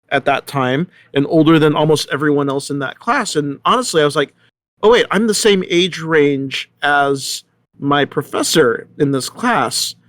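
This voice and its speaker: A middle-aged man in a casual panel discussion, rambling and halting as he often repeats his words. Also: fairly high pitch, a bit bright, no room sound.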